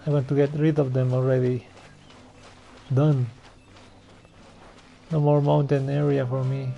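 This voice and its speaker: Gravelly-voiced male game streamer. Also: medium pitch, little pitch movement, unhurried, dull audio, slight background noise. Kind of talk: casual gameplay commentary.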